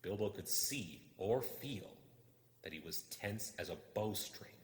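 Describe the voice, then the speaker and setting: Male narrator for suspenseful fiction: theatrical, low-pitched, deliberately slow, in a reverberant space. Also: expressive. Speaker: audiobook reader. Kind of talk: dramatic storytelling narration. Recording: echoey, long reverb.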